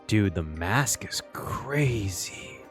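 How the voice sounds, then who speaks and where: A man giving excitable live reaction commentary as a streamer, exclaiming and thinking out loud. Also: fairly low pitch, extremely slow. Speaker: streamer reacting to a game story. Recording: game music behind. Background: game soundtrack and dialogue.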